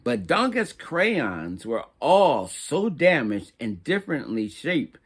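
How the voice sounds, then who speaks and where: A man's voice: on the high side, very animated, slow pace, husky. A man reading a children's picture book aloud as narrator. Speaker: storybook reader.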